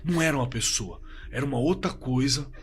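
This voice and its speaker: A man with a Brazilian accent playing a shaken young man recounting a frightening night in a halting, colloquial way, in a horror audio drama. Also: medium pitch, close to an everyday voice. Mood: frightened. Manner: halting, repeating words, colloquial. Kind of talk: dramatized first-person horror story, told conversationally.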